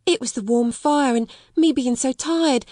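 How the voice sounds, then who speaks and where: A woman's voice: high-pitched, unhurried, warm tone, dry recording, studio quality, voice pushed up. Woman doing a frightened young servant girl. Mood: frightened pleading. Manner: gasping, stammering, pleading. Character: frightened poor scullery girl, young, timid.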